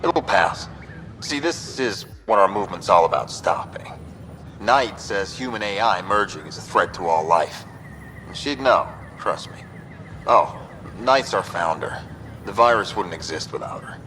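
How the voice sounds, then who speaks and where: An actor playing an eager, smug activist hacker in a video game, chatty and emphatic. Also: male voice, fairly low pitch, expressive, unhurried, pushed and bright, a little hiss or hum. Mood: earnest. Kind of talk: video game dialogue acting.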